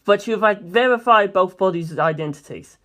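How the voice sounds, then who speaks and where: A woman's voice: mid-range voice, normal speed, a bit bright, close to an everyday voice. Woman reading as a skeptical, inquisitive male detective. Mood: curious, startled.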